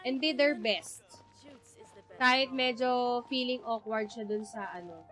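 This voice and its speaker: A woman with a Filipino accent giving casual live game commentary, halting as she thinks aloud. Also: a little high, fairly bright tone. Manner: thinking aloud, halting, switching between English and Tagalog. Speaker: game streamer. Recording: game music underneath.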